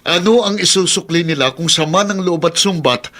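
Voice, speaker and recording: A man's voice: a little high, moderate pace, sharp tone. An actor playing a patient, protective middle-aged foster father in a Filipino radio drama, earnest in his delivery. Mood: earnest pleading.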